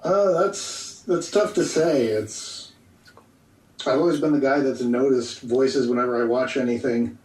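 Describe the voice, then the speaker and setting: A man being interviewed as a guest voice actor, easygoing and thoughtful, often pausing to think. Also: medium pitch, darkish tone. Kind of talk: casual podcast interview. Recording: echoey room, distant from the mic.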